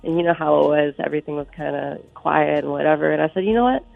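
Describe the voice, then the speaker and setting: A woman with a stuffy, slightly sick voice speaks softly and loosely through a thin, tinny phone line, with a gravelly edge to her speech. Faint music sits under the call. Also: deep voice, quick pace, dry recording. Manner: soft-spoken, relaxed, many fillers. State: stuffy from a cold. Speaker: musician guest. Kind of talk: live radio phone interview.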